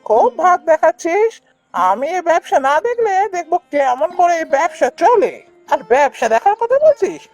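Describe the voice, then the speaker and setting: A woman's voice: extremely high pitch, very animated, moderate pace, darkish tone, soft background music, exaggerated voice. A woman voicing a harsh, domineering, miserly elder brother in a cartoon fairy tale, scolding and sneering in repeated outbursts. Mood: furious, mocking.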